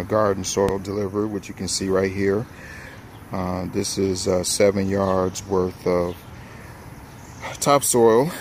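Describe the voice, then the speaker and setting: A man's voice: on the low side, slight background noise. A man casually walking through his yard work on a handheld phone camera, talking loosely with lots of fillers and restarts, outdoors. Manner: relaxed, rambling, many fillers and restarts. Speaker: home improvement vlogger. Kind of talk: casual filming-as-he-works walkthrough. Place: outdoors in a home front yard.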